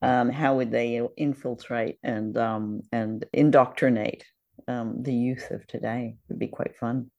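A woman's voice: a bass voice, steady tone, a little slow, warm tone, dull audio. Conversational woman co-hosting a podcast. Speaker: podcast co-host. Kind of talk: casual discussion of a radio drama.

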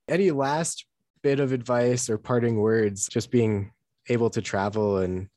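A man's voice: medium pitch, fairly even intonation, slow, dark tone, dry recording. Dry, close-sounding youngish man hosting. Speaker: podcast host. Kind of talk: casual interview chat and podcast sign-off.

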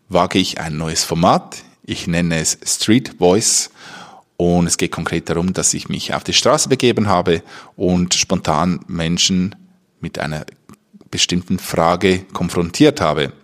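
A man hosting a podcast, speaking casually and off the cuff, haltingly searching for words. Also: deep voice. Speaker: podcast host and interviewer. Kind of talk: casual unscripted podcast talk.

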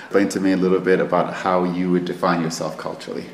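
Deep-voiced male podcast host. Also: flat delivery, quick pace. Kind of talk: podcast introduction and interview.

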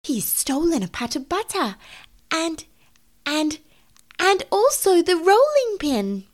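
A woman as a high-voiced, excitable girl kitten. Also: takes it slowly, full character voice. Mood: indignant, huffy.

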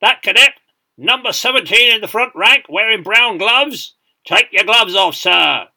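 A man voicing a stern, booming British army sergeant major in a dramatised story, bellowing parade-ground commands. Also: expressive, a little slow, creaky, bright, forceful tone, heavily acted. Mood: barking commands.

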